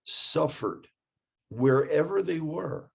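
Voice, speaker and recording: A man's voice: fairly high pitch, expressive, takes it slowly, creaky, slightly rough. American man, emphatic storyteller. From United States. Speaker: guest, tour guide living in Israel. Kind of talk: casual conversational storytelling.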